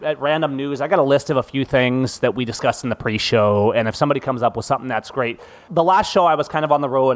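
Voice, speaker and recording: A man hosting a casual photography panel podcast, upbeat and joking at his own expense. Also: mid-range voice. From Central Washington. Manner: upbeat, joking, self-deprecating. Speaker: podcast host. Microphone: studio podcast mic.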